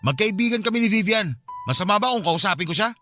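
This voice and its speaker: A man's voice: high voice, animated, fast talker, bright, forceful tone, soft background music. A man with a Filipino accent playing an irritable, defensive husband in a radio drama. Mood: angry, defiant.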